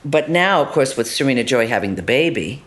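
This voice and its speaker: A woman actor chats through an interview in a lively way. She swings from loud, emphatic asides to hushed, half-voiced murmurs. She keeps tossing in tag questions like "doesn't it?". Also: rumbling low, a little fast, crowd noise. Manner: animated, shifting between loud asides and soft murmurs. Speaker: actor interviewed about her role. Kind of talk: conversational interview.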